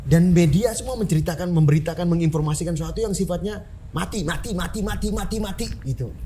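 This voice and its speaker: Fast-talking Indonesian man from Flores. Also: high-pitched, music in the background. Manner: animated, reflective, talks in slang with English bits. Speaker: musician guest. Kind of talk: casual podcast chat.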